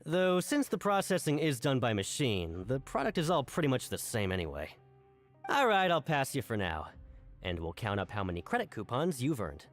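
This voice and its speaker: An actor playing a gruff, bullying prison foreman in a video game, stern, barking and condescending. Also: medium pitch, big pitch swings, normal speed, faint music behind, close to an everyday voice. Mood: grudging, dismissive. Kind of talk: animated video game voice acting.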